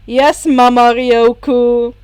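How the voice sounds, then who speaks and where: Woman doing a loud, exasperated teenage boy gamer. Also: a little high, steady tone, slow pace, no room sound. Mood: teasing. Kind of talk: fan fiction character reading.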